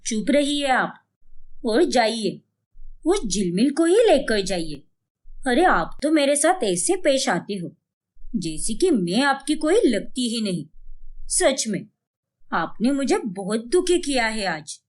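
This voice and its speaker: A sulky, jealous older wife in a domestic story, put on by a woman. She complains huffily in a high, very expressive voice. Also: slow pace. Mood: jealous sulking. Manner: complaining, huffy. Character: jealous, sulking older wife and mother-in-law.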